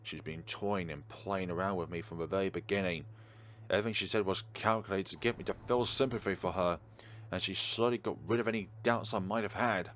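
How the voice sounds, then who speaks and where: A man's voice: low voice, a little fast, a bit bright. A man reading a visual novel aloud theatrically and adding his own casual commentary as a let's player. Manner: theatrical, emphatic reading with interjected reactions. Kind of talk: dramatic read-aloud narration with casual gameplay commentary. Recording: clearly noisy background.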